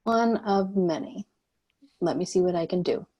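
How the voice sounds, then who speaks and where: Woman playing a bounty cop, lowish voice, dry, clipped, self-assured, table-read drama, close dry sound. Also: normal speed, dark tone, no echo, close to an everyday voice. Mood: wry. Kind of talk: screenplay table read acting.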